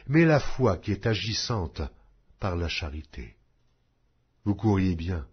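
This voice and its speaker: A man reading scripture aloud in a slow, solemn, measured way. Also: lowish voice, slightly rough, dull audio. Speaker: Bible reader.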